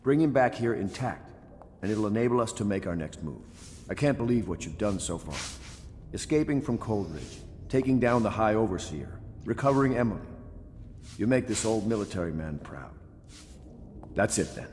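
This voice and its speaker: An actor playing a dignified, earnest older military leader of conspirators in a video game, speaking in a formal, measured way. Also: male voice, lowish voice, lively intonation, darkish tone, close to an everyday voice. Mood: measured, urgent. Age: middle-aged. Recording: long echoey hall, faint background noise.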